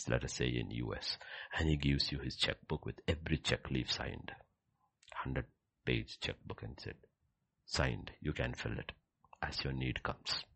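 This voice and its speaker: A man with a husky voice preaching a sermon, emphatic, repeating key phrases and swinging between hushed and loud delivery. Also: extremely low pitch. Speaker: preacher leading a prayer service.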